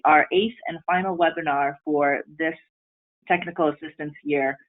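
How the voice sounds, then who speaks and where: A woman from Taiwan whose first language is Mandarin Chinese, presenting a training webinar in an earnest way with frequent ums and restarts. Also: fairly low pitch. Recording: tinny phone-line audio.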